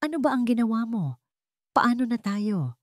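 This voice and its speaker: Woman acting a distraught, pleading mother, pitched up. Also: big pitch swings, normal speed, dry recording. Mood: despairing. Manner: pleading, anguished.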